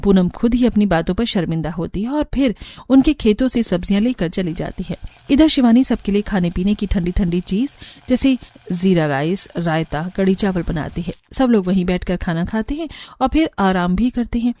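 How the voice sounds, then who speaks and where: A woman's voice: mid-range voice, expressive, normal speed, mellow. A woman narrator reading a scripted story for a cartoon.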